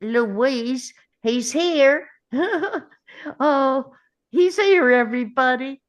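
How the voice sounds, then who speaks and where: A woman acting a polite, simple Southern character in a table read of a film script, with an unhurried delivery. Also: sits high, animated, heavily acted. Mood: excited, coaxing. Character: Forrest, polite simple Southern man; later his doting Mama.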